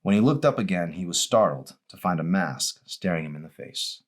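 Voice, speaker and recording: Male author, audiobook narration, deep voice, animated intonation, expressive. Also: a little fast. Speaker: author reading own novel.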